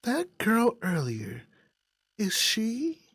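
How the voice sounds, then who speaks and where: A woman's voice: a little high, wildly swooping pitch, very slow, husky, dry recording, studio quality, pitched above the performer's own voice, full character voice. A woman voicing a frail, stoic older mother with a thin voice in a visual novel. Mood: weary, reserved.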